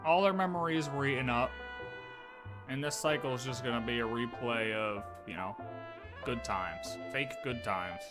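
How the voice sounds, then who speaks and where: A man's voice: mid-range voice, moderate pace. A man giving casual, laid-back, chatty commentary as a game streamer. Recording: game music behind the voice.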